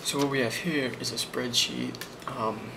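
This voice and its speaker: A man acting as a friendly office boss in an ASMR role play, with a creaky, mid-pitched voice. He rambles softly and hesitantly, reassuring as he goes. Loud noise runs constantly behind him. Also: fairly even intonation, a little slow, lightly acted. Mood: calm, pleased. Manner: soft-spoken, hesitant, reassuring.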